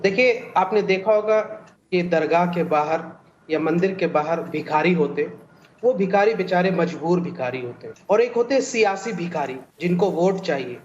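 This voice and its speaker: A man's voice: high-pitched, normal speed, darkish tone. Guy doing theatrical political rant on a debate, muffled link, bit of noise. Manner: theatrical storytelling with repeated refrains. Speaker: debate panelist. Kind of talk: rhetorical political commentary. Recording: dull, noisy remote link audio.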